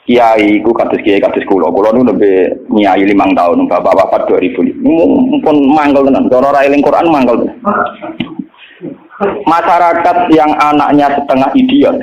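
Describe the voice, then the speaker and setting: A man's voice: medium pitch, moderate pace, darkish tone, slight background noise. Javanese-accented male preacher, echoey dull recording. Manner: colloquial, anecdotal, blunt, with rhetorical check-in questions. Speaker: Islamic cleric and religious teacher. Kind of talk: informal religious lecture mixing storytelling with teaching.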